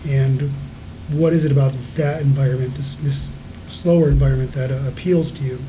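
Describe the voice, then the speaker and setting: A man's voice: mid-range voice, steady tone, brisk, dark tone, low-quality recording. A man on a council putting a long question to a candidate, hesitant and searching for words. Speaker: council member. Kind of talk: interview question. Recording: meeting recording with clear background noise.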